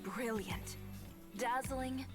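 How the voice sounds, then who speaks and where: A woman's voice: high voice, extremely slow. An actress voicing a proud, cool, uncompromising young woman fashion designer in a dramatic video game, composed and assertive. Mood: proud, resolute. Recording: music behind the voice.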